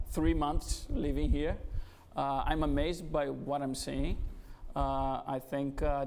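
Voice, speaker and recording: A man's voice: medium pitch, vocal fry, a little mellow, a little hiss or hum. A middle-aged man from Brazil with a Brazilian Portuguese accent, speaking as a guest at a startup event with frequent hesitations. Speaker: guest speaker, business executive. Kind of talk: conversational fireside interview. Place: event venue before an audience.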